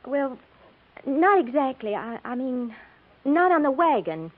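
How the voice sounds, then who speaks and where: An American actress playing a chatty, easily riled young schoolteacher bride with folksy, earnest speech in a 1950s radio drama. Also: female voice, sits high, very animated, a little slow, full character voice. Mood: warm, eager. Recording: tinny, narrow-band 1950s radio recording.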